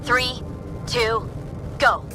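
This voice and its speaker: An actress playing a capable military tech specialist in a video game, clipped and businesslike. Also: female voice, a little high, steady tone, extremely slow, music in the background. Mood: alert. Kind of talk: video game cinematic acting.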